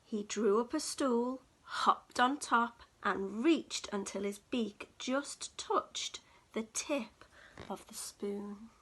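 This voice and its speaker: A woman reading a picture book aloud to young children in an expressive, dramatic storytelling manner. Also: on the high side, takes it slowly, slightly rough. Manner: dramatic, with hushed whispers and gasps.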